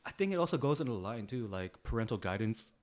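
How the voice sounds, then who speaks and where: A man co-hosting a podcast in casual chat, laid-back, filling his talk with "like" and "you know what I mean", and trailing off quietly at the ends of phrases. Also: mid-range voice, sing-song, fast talker. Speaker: podcast co-host.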